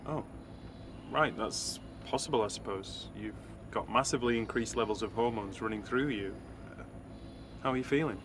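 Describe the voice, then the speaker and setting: An actor playing Ben, a young man, breezy with friends and calmly reasoning in arguments. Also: lowish voice, normal speed, noisy background, natural voice. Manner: breezy banter, then calm and patronizing.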